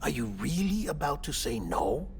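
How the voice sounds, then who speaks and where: A man playing an earnest, apologetic middle-aged government doctor in a cinematic video game, speaking in a hesitant, polite, deliberate way. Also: fairly high pitch, very animated, creaky, music in the background. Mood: earnest, insistent.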